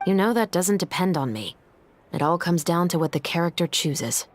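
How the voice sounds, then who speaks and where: A woman's voice: lowish voice, animated, moderate pace, lightly acted. A woman playing a stern, composed young police captain in a video game, commanding, clipped and matter-of-fact. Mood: cool, detached. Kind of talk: video game character dialogue.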